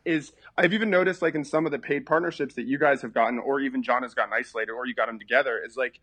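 A man's voice: mid-range voice, steady tone, very fast, fairly bright tone, no room sound. A middle-aged man in casual podcast conversation, talking animatedly in long run-on sentences. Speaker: interior designer.

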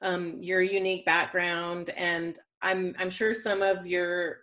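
A woman hosts an interview over a call, her voice sitting a little low. She affirms her guest often and then asks open, encouraging questions. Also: level tone, slow, fairly bright tone. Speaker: podcast host. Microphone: remote call.

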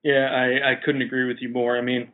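A man hosts a casual sports show with a mid-range voice. He talks in an informal, halting way, as if chatting with friends. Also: mid-range voice, little pitch movement, phone-quality sound. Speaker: sports podcast host.

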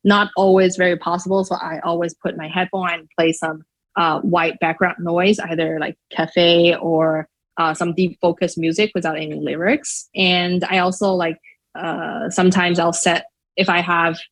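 Chinese-accented woman, low voice, thoughtful. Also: level tone, normal speed. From Shanghai, China. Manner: thoughtful, many fillers like "um" and "like". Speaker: podcast guest. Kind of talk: casual interview answers.

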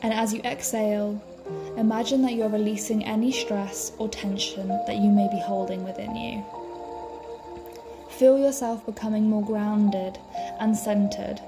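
A woman leading a guided meditation as a podcast host, speaking in a calm, soothing, measured way. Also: medium pitch. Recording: music behind the voice. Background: background music.

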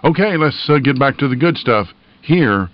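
A man's voice: medium pitch, animated, moderate pace, no room sound. A folksy, earnest man hosting a radio station, reading informal announcements and listener notes in a plain-spoken way. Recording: dull, band-limited lo-fi audio.

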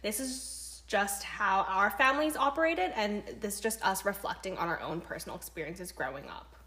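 A woman's voice: medium pitch, animated, moderate pace, bright, forceful tone. A young Canadian woman with a mid-range voice. She speaks as a casual podcast co-host, chatty and playful, with a self-deprecating streak. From Canada, born and raised in Vancouver. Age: young adult.

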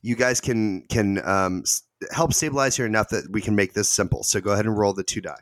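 A man's voice: on the low side, a little fast, a little hiss or hum. A man narrating as game master of a tabletop game, in a loose, chatty way full of fillers and restarts.

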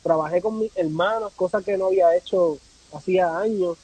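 A man's voice: sits high, brisk, darkish tone, slightly rough, rough recording. A man from Puerto Rico with a Puerto Rican accent, talking casually and reflectively as a podcast guest, repeating phrases for emphasis. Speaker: podcast guest, travel content creator. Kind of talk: casual conversational interview.

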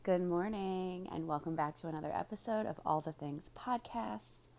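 A woman hosting a podcast, speaking directly to her listeners in a slightly raspy voice. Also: fairly low pitch, normal speed, darkish tone. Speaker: podcast host and business coach. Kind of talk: podcast monologue, promotional then casual chat.

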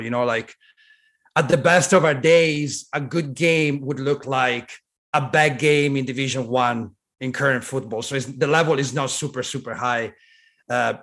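A man in his thirties from Italy with an Italian accent, telling his story as a guest in a relaxed, rambling way full of "like" and restarts. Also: on the high side. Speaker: guest, strength coach. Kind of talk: casual conversational storytelling.

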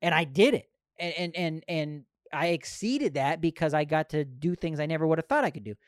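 A man's voice: on the high side, fairly quick, no echo, studio quality. A man in his thirties chatting casually on a podcast, earnest and rambling, repeating phrases and swearing freely. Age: 30s.

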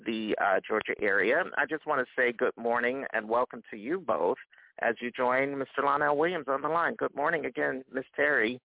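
A man's voice: medium pitch, moderate pace, creaky. A man hosting a live radio morning show, chatty and repeating words as he goes. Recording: thin phone-like dry sound.

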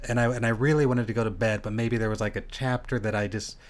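Relaxed American podcast host. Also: male voice, on the low side, fast. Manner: loud theatrical intro, then relaxed and rambling. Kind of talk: casual interview chat. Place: Las Vegas home studio.

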